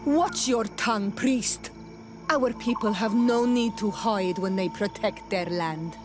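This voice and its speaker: Woman doing a blunt proud warrior lady in a game, stern, medium pitch, music behind. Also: slow pace, lightly acted. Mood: indignant, stern. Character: proud, blunt female native islander warrior. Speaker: game character voice actor. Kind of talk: dramatic video game acting.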